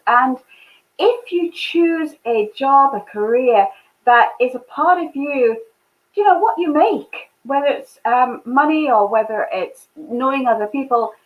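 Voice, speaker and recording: A woman hosting an online interview show, chatty and upbeat as she welcomes her guest. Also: high-pitched. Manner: upbeat, chatty welcome with short warm murmurs of agreement. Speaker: host. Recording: phone-like lo-fi sound.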